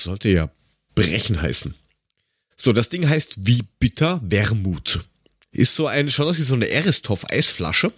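Casting: male podcast host, husky voice, animated melody, colloquial and jokey, close dry sound. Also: lowish voice, no room sound. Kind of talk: casual banter, tasting snacks and drinks.

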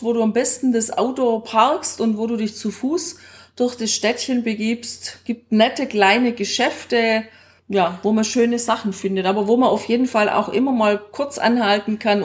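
Gesprächige Frau mit eher hoher Stimme. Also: female voice, on the high side. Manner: chatty, colloquial. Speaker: podcast co-host. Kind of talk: casual conversational travel talk.

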